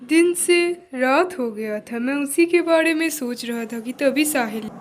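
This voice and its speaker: High-pitched woman narrating a story. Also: unhurried, a little hiss or hum. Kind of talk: scripted first-person cartoon story narration, as a young man.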